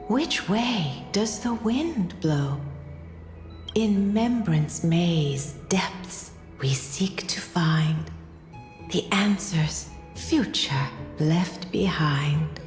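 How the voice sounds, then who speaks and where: A woman voicing a serene, motherly fairy chief in a fantasy game, with a mystical manner. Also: on the low side. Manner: slow, mystical, chanting in rhyme. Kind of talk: fantasy video game voice acting. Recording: echoey hall reverb, faint music behind.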